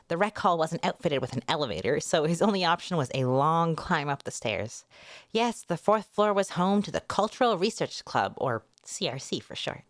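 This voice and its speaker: A woman with a somewhat low voice hosts a readalong. She narrates like a storyteller and keeps her delivery casual and expressive. The sound is very dry, with no room echo. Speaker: VTuber host reading a light novel aloud.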